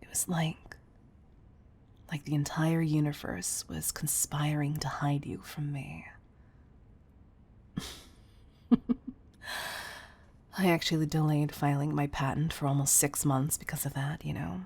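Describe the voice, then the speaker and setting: A woman playing a wealthy, obsessive CEO in an intimate audio roleplay, speaking in a breathy, gravelly murmur that is affectionate and confessional. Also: deep voice, flat delivery, slow pace, long pauses, darkish tone, full character voice. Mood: confiding, wistful. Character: wealthy obsessive female CEO, tender yet controlling. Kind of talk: intimate audio roleplay monologue, partly whispered.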